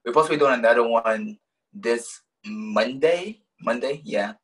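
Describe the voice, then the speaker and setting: Young male co-host, slow easy speech, sounds a little off-mic. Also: medium pitch, distant mic. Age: young adult. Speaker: podcast co-host. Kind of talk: casual chat.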